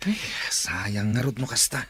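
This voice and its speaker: Flattering young cousin. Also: male voice, fairly low pitch, measured pace. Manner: smooth, flattering, coaxing. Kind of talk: radio drama acting.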